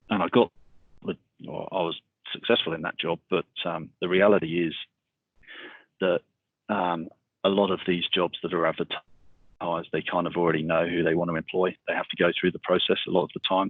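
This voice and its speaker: A middle-aged man giving a casual webinar talk, speaking in a monotonous, rambling way with many fillers over a video call. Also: low voice, husky, dry recording. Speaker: webinar speaker on military transition. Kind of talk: casual presentation. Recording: tinny video call audio.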